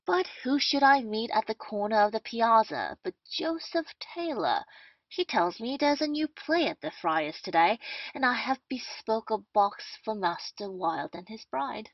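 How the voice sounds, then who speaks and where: A woman's voice: on the high side, expressive, unhurried, no room sound. Dry-sounding bustling captain played by a woman. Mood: bustling, boastful. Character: bustling, boastful male captain, scheming and talkative. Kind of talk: amateur audio play of Restoration comedy. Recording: dull, lo-fi, dry audio.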